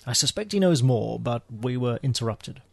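A man's voice: medium pitch, lively intonation, moderate pace, creaky, no echo. A man playing a dry, unflappable British spy in radio theater, with a wry, understated manner. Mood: dryly conversational. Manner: wry, understated, old-fashioned turns of phrase.

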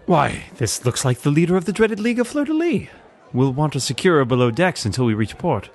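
A genial idle millionaire, acted by a man with a very animated, medium-pitched voice. He explains things in a jaunty, knowing way, in the manner of a vintage radio adventure parody. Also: a little fast. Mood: surprised. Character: genial layabout millionaire playboy, knowledgeable. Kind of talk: old-time radio drama parody acting.

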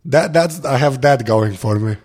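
A tired man with a gravelly voice chatting casually as a podcast guest, rambling in an informal, self-deprecating way. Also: medium pitch, moderate pace, darkish tone. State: tired, barely awake. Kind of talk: casual chat.

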